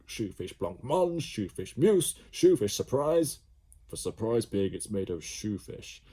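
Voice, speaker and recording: A man's voice: medium pitch, warm tone. A man voicing a bald, pompous older male headmaster who rants and barks out his rules. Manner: ranting, barking out rules.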